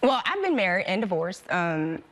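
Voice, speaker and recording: A woman's voice: mid-range voice, sing-song, a bit bright. Earnest woman, talk show guest. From American. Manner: earnest, talks with slight laughs, halting restarts. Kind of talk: casual talk show chat. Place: television studio.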